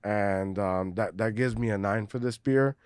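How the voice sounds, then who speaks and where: A man's voice: lowish voice, a little slow, darkish tone, clean tone, no echo, studio quality. Rambling, laughing man reviewing craft beer. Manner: rambling, swears freely, laughs often. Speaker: podcast co-host. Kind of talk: casual chat, beer review.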